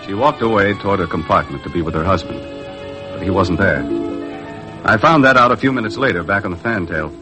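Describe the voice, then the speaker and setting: Low-voiced, hard-boiled American boat skipper. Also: male voice, expressive, fairly quick, over music. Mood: brooding narration. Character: tough, blunt, world-weary charter boat skipper. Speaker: lead actor and narrator of a radio adventure. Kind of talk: 1950s radio drama acting. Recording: noisy vintage 1950s radio recording.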